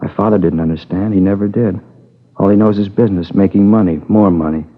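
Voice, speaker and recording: A man's voice: low voice, fairly even intonation, a little fast. An American actor playing a troubled, needy young man confessing to a killing in a 1950s radio drama, brooding and pleading in short repeated phrases. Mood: bitter, yearning. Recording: tinny old radio recording with long reverb.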